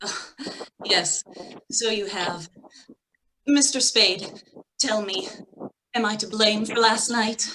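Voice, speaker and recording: A woman's voice: medium pitch, slow pace, pauses often, natural voice. A woman playing a slippery, pleading femme fatale in a 1940s radio drama, hesitant and melodramatic. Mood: coy, hesitant. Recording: bright video-call audio, faint noise.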